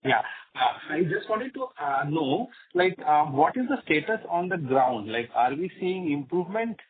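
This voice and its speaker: A man's voice: on the high side, very animated, normal speed. A man putting a question hesitantly as an analyst calling in. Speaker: caller, analyst asking a question. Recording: muffled, tinny phone line.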